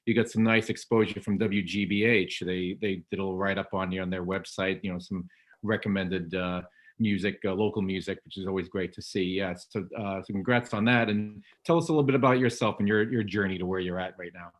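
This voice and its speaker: A man with a somewhat low voice hosts a relaxed interview over a video call. He rambles, restarting his sentences often as he talks. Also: fairly quick. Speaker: host.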